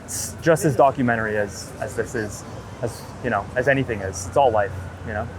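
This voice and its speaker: A man talking casually and earnestly as an interviewed photographer, rambling in a halting way. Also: mid-range voice. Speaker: street and wedding photographer being interviewed. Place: outdoors on a city street. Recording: street noise, passing traffic.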